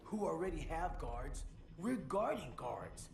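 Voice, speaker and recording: High young male voice, cocky, impatient, animated, superhero, game cutscene, music behind. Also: slow pace, creaky, heavy background noise, exaggerated voice. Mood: frustrated. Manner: slangy, complaining, emphatic. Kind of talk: video game cinematic acting.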